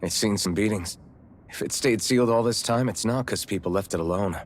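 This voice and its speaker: A man's voice: lowish voice, brisk, slight background noise, close to an everyday voice. A man playing an earnest, hot-headed young rebel fighter in a video game cutscene, speaking emphatically. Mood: casual. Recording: loud music behind the voice. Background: loud game soundtrack music.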